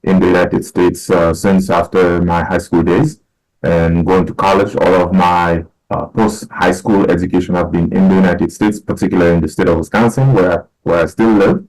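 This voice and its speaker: A middle-aged man from Haiti, a guest on a podcast, talking in a measured way with many fillers and restarts. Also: deep voice, level tone, a little mellow, rough recording. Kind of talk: casual interview talk.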